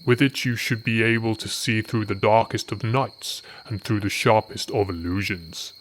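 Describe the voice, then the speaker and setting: A man's voice: lowish voice, steady tone, moderate pace, a little hiss or hum, close to an everyday voice. A man voicing a smug, menacing noble wizard who savours his mocking words in a fantasy audiobook. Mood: dismissive, condescending.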